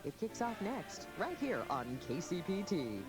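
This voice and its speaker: Animated male TV announcer over music. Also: high voice, a little slow. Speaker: television station continuity announcer. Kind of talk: promotional scripted announcement.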